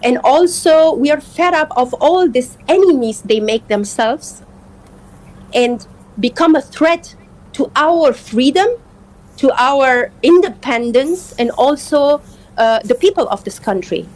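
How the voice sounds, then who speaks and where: A woman from Afghanistan, a native Persian speaker, in a live political discussion, speaking earnestly and haltingly as she searches for words. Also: high-pitched, animated, darkish tone, rough recording. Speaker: interview guest.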